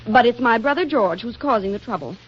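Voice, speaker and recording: An actress playing a flippant, scheming, exasperated wife in a 1940s radio comedy, delivering wisecracks with arch drama. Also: female voice, on the high side, animated, brisk, fairly bright tone, husky. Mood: wry exasperation. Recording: dull, faintly noisy 1940s radio recording.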